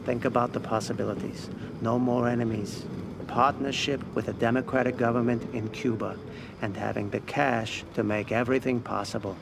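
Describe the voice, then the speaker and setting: A man plays an aging mob strategist in a cinematic game. His voice is gravelly and mid-pitched, and he lays out schemes with calm, deliberate ambition. There is clear noise in the background. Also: natural voice. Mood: tempting. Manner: deliberate, persuasive. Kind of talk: cinematic video game acting.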